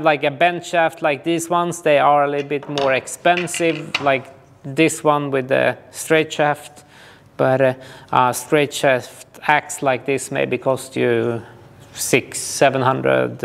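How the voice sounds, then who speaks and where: A man, informally explaining outdoor gear to camera in a hesitant, halting way. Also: a little high, a little hiss or hum. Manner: hesitant, halting delivery with frequent pauses. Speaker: ski touring guide and instructor. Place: small indoor gear shop room.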